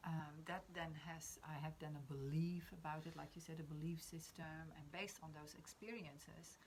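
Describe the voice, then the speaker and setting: A woman in her fifties with a Dutch accent, reflecting in a spiritual conversation, often pausing to search for words. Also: deep voice, steady tone, a little mellow, slight background noise. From Netherlands. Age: 50s. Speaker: discussion participant.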